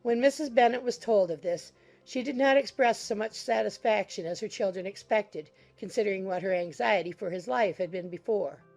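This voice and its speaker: A woman narrates a classic novel. Her voice sits at a medium pitch, delivering formal scripted prose as quiet music plays behind. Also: normal speed, vocal fry. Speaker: audiobook narrator. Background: soft background music.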